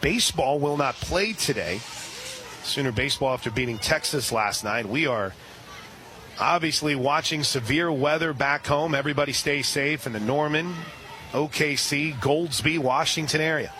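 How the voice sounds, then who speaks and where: Excitable radio ballgame announcer, man. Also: a little hiss or hum. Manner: chatty, excitable, quick asides between pitches. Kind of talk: live radio sports commentary. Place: outdoor ballpark press area.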